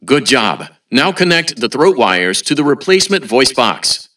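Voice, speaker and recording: Bright, blandly cheerful robot announcer. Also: male voice, medium pitch, big pitch swings, a little slow, distant mic. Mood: instructive, upbeat. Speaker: automated announcer. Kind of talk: scripted video game announcements.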